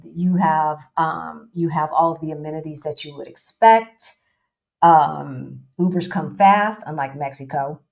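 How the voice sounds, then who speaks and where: A woman with a low voice hosts a relaxed live-stream chat. She talks in a loose, chatty way, repeats herself for emphasis and drops casual slang as she goes. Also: measured pace, vocal fry. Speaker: host.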